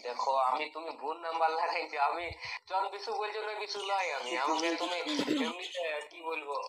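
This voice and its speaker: A man's voice: a little high, brisk, crowd noise. A young man with a rural western Bengali accent, speaking in a bewildered, exclamatory, protesting way on a casual phone call. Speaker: prank call target.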